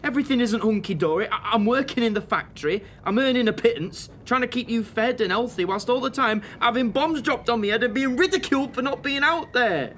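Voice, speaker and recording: An actor playing a strained young wartime husband in an audio drama, defensive and hesitant, with a Northern English accent. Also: male voice, very high voice, fairly quick, hardly any pauses, fairly bright tone, slight background noise. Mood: bitter, agitated.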